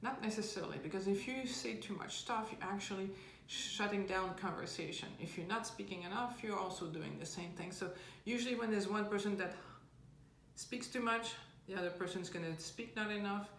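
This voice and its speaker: A woman's voice: medium pitch, a little fast, a bit bright. Woman coach in a roomy space. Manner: earnest, explains with homely analogies. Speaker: relationship coach answering viewer questions. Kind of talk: casual advice talk. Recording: roomy with faint background noise.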